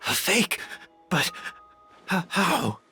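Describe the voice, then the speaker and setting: An actor playing a weary, obsessed, dying villain in a video game, with a breathy, rough voice and halting, dramatic delivery. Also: male voice, high voice, big pitch swings, sharp tone, soft background music, full character voice. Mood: shocked, disbelieving.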